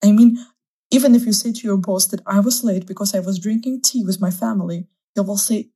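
A woman's voice: medium pitch, brisk, mellow, husky. A young woman from Azerbaijan whose first language is Russian, chatting casually as an interviewee and laughing often.

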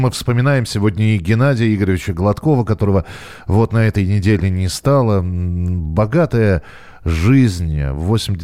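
A man hosting a casual radio talk show, halting and searching for words as he chats and reads out listeners' messages. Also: lowish voice, a little mellow.

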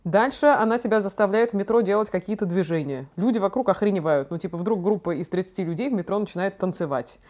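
A young woman hosting a podcast, chatting casually and telling personal stories in an expressive, slangy way. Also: mid-range voice, fast talker, few pauses. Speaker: podcast host. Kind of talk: casual chat, personal storytelling.

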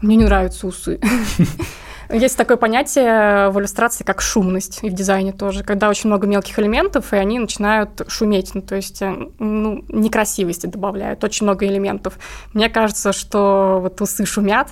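A woman's voice: medium pitch, fairly even intonation, fairly quick. A woman speaking casually as an interview guest, talking about her own work as an illustrator.

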